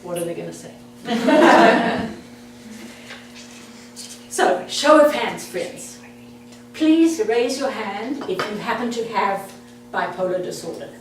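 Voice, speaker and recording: A woman's voice: medium pitch, expressive, takes it slowly, pauses often. South African woman speaking in an echoey room. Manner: friendly, direct, chatty with the audience. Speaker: presenter. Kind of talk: interactive talk to an audience. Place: meeting room. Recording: echoey room, far from the mic, faint hum.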